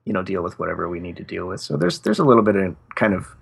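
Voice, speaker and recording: A man, a novelist, chatting casually in an interview in an easygoing, measured way. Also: low voice, big pitch swings, vocal fry, husky.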